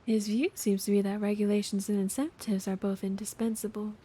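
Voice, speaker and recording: A woman livestreaming a game, chatting casually and reading text aloud in a calm, laid-back manner. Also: medium pitch, a little fast, husky. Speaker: streamer.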